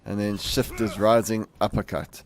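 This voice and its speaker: A man hosting a gaming video with casual, rambling commentary, halting and correcting himself as he goes. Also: on the low side, flat delivery. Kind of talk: casual game showcase commentary. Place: voice-over on game capture.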